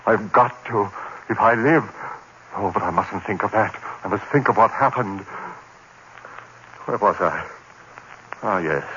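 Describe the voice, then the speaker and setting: An actor playing a haunted man descending into murderous madness, in melodramatic old radio horror style, moving between hushed dread and outcry. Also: sits low, exaggerated voice. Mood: brooding, desperate. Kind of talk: 1940s radio horror acting. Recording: muffled noisy old radio recording.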